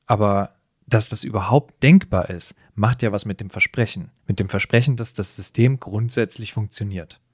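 A man's voice: on the low side, normal speed, husky, dry recording, studio quality. A man in a conversational podcast discussion, explaining things with emphatic phrasing. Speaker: podcast co-host.

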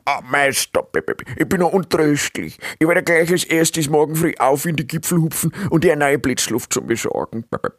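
A man's voice: on the high side, moderate pace, few pauses, no echo, studio quality, pitched above the performer's own voice, natural voice. A man voicing a servile, bumbling little animal assistant who stammers and punctuates every phrase with beeps, in a storybook reading. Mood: contrite, servile.

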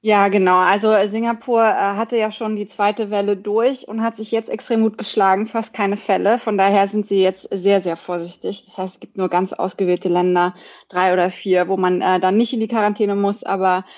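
A slightly muffled, lo-fi remote recording of a woman from Germany with a mid-pitched voice. She talks conversationally as a guest founder answering an interviewer's questions. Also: little pitch movement, moderate pace, a little mellow. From Berlin, Germany. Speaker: startup founder, podcast guest. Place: hotel room, remote call. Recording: dull, lo-fi remote connection.

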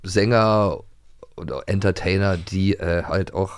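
A man's voice: low voice, unhurried, smooth, dry recording, clean studio sound. A man from former East Germany co-hosting a podcast in casual chat, who talks hesitantly and keeps searching for words. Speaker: podcast co-host.

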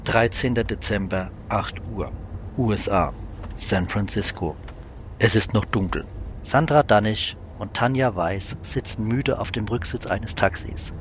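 A man's voice: on the low side, a little slow, background noise. A man reading scripted narration for a science-fiction audio drama. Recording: loud music behind the voice.

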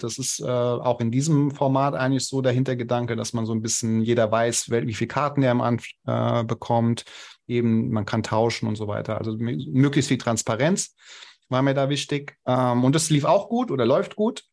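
A man's voice: medium pitch, fairly even intonation, a little fast, darkish tone. A young adult man, a podcast guest, speaking casually with many hesitations and restarts. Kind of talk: casual interview talk.

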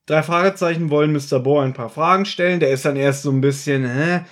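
A man's voice: on the high side, quick pace. A man hosting a podcast, chatting casually as he recaps an audio drama. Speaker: podcast host.

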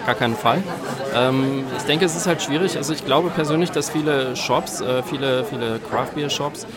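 A man's voice: mid-range voice, moderate pace, a loud crowd. A man from eastern Belgium, guest in a conversational interview, talking hesitantly with many fillers and restarts. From Belgium (Eupen). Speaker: guest, beer shop owner.

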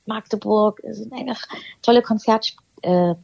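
A woman from eastern Germany, a singer as interview guest, talking thoughtfully with many pauses and restarts. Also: mid-range voice, gravelly, darkish tone, husky, no echo, muffled recording. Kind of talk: casual interview chat.

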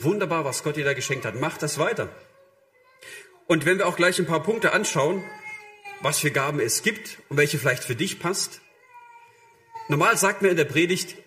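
A man with a slightly high voice delivers a sermon as a preacher. He speaks conversationally and directly to his listeners, illustrating points with short imagined quotes. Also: lively intonation, normal speed, pushed and bright.

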